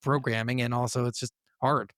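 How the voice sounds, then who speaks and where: A man hosting a casual gaming podcast, telling stories in an animated, conversational way. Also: mid-range voice, a little fast, no echo, clean studio sound. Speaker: podcast host.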